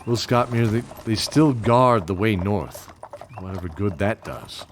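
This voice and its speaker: A man's voice: lowish voice, animated, a little slow, a little hiss or hum. A man playing a bearded old knight, fatherly and wistful, telling his tale hesitantly in an audio drama. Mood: wistful, sombre. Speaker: narrator and knight character.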